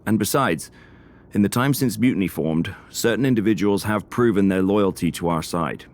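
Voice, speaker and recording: A man playing a composed, diplomatic wrestling general manager with a measured, formal manner in a video game cutscene. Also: lowish voice, darkish tone, close to an everyday voice. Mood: advising.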